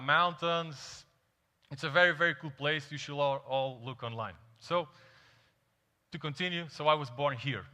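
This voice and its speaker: Young guy giving a keynote, super bright tense voice, big hall echo. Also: mid-range voice. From Portugal (Azores). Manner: animated, storytelling, asks the crowd questions. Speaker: keynote speaker. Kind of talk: energetic conference talk. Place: large dark stage. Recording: hall reverb on stage.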